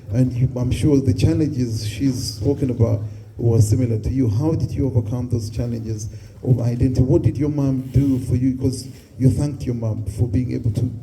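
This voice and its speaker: A man's voice: medium pitch, dark tone, a little hiss or hum, poor audio. A man moderating a panel, asking questions in English and Luganda. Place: community hall.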